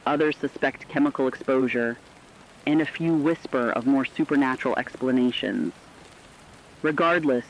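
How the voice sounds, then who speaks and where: A man's voice: medium pitch, natural voice. A solemn male radio co-anchor played by an actor reads a dramatic scripted bulletin about a disaster. His mid-range voice is articulate, and the sound is slightly dull, with faint rain noise behind it. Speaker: news co-anchor.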